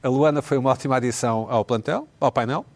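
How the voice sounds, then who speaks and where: A man's voice: medium pitch, darkish tone. A man hosting a comedy panel show, reading listener emails aloud with teasing asides. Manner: fast, playful, reads messages aloud with teasing asides. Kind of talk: casual comedy panel chat.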